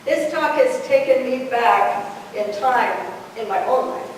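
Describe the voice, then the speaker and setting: A woman's voice: on the high side, expressive, slow, slight background noise. An older woman giving an earnest, reflective spiritual talk to a congregation. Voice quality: fairly loud voice. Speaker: guest speaker. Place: church hall. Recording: echoey hall from far off.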